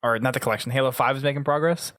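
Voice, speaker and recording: A man hosts a gaming podcast in casual, conversational talk. His voice sits at a middle pitch. The sound is dry and close, with very little room in it. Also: steady tone, racing, a bit bright, dry recording. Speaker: podcast host.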